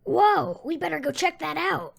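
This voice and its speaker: A woman's voice: extremely high pitch, normal speed, vocal fry, heavily acted. A woman playing an earnest, friendly young boy fox in a cartoon audio drama. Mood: alarmed.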